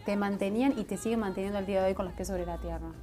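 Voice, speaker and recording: Very fast-talking woman. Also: mid-range voice, fairly even intonation, warm tone. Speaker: interviewer. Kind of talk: casual conversational interviewing.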